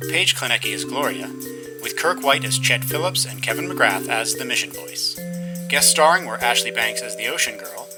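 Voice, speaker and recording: Male announcer, medium pitch, scripted credits read, prominent music behind.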